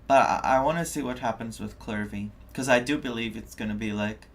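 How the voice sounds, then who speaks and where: Creaky-voiced game streamer thinking aloud. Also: medium pitch. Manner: musing, halting, thinking aloud. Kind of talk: casual live game stream commentary.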